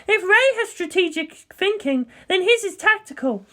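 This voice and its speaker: Woman playing an anxious, high-voiced female captain. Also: lively intonation, moderate pace, sharp tone, exaggerated voice. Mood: worried, insistent.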